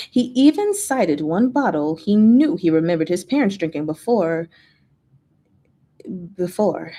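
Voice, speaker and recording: A woman reading fiction aloud as narrator, in an expressive storytelling style. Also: mid-range voice. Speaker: fanfiction reader, channel host.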